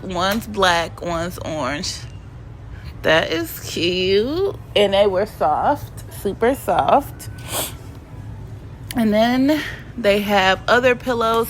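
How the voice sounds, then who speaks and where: A woman's voice: medium pitch, a bit bright, slight background noise, rough recording. A woman with a stuffy, sniffly cold, chatting playfully in slang as she narrates a shopping vlog from home. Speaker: vlogger. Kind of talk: casual shopping vlog voiceover. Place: home, recorded voiceover.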